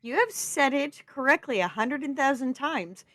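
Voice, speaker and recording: Woman host chatting on stream, quick and casual, dry close sound. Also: high voice, expressive, a bit bright, no echo. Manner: quick, chatty, fixing tech while talking. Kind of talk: casual group chat.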